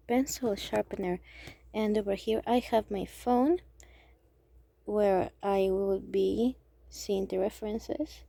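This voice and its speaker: A young woman from Mexico with a Mexican Spanish accent, English her second language, talking casually and hesitantly through a drawing tutorial, sometimes dropping to a near whisper. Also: mid-range voice. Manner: hesitant, halting, thinking aloud; soft-spoken, drops to near whisper. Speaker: amateur artist.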